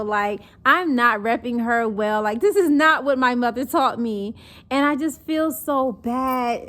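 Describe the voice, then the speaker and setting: A young woman from North Carolina with a Southern accent mixed with northern speech, chatting casually and animatedly to camera as a vlogger. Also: on the high side. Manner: chatty, animated, acts out mocking quotes.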